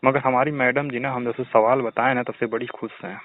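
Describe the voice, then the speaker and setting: A man's voice: mid-range voice, little pitch movement, fairly quick, no echo. A young man with a Bhojpuri accent chatting casually and telling stories in a teasing, boastful way. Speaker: student. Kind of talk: casual phone chat, telling anecdotes. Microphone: phone. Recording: narrow, tinny phone call recording.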